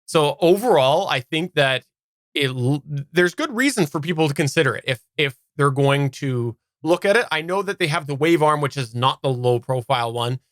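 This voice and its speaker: Man with a higher-pitched voice, reviewing gear. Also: expressive, moderate pace, a bit bright, no room sound, studio quality. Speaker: podcast host reviewing gear. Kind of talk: casual unscripted product review.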